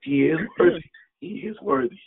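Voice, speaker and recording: A man calls into a morning prayer line, his voice raspy and worn. He answers with short, fervent affirmations, and his husky voice comes through a thin, tinny phone connection. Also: fairly high pitch, animated, normal speed. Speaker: caller. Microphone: telephone.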